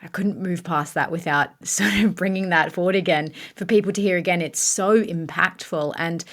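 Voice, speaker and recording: A woman's voice: fairly low pitch, moderate pace. Appreciative woman podcast co-host. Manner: emphatic, appreciative. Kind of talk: interviewer recapping and moving on.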